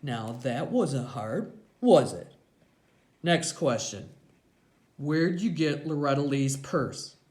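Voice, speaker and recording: A woman's voice: low voice, animated, slow, long pauses, darkish tone. A woman voicing a big, tattooed male gang boss in a story, slangy, curt and mocking. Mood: bullying.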